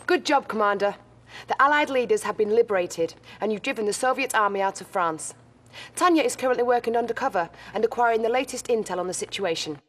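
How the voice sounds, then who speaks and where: A woman's voice: on the high side, fast talker, close to an everyday voice. An actress in her twenties playing an earnest, formal military intelligence lieutenant briefing a player in a game. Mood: courteous. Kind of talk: acted game mission briefing.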